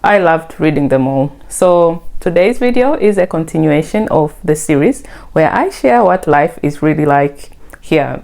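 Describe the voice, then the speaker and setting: A woman's voice: deep voice, vocal fry, darkish tone. A woman from Tanzania hosting her own vlog, explaining things in a friendly, conversational way. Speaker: vlogger. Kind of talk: scripted vlog narration.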